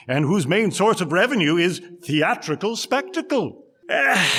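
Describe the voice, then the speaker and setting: An actor playing a flattering, grandiose con-man showman with florid, oily salesmanship in a stage-style reading of a classical comic play. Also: sits high, big-room sound, heavily acted.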